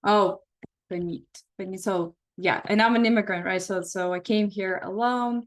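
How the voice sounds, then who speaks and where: A woman's voice: medium pitch, steady tone, normal speed. A middle-aged woman with a Russian accent, a therapist leading a casual workshop, talking thoughtfully and often restarting her sentences. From Belarus.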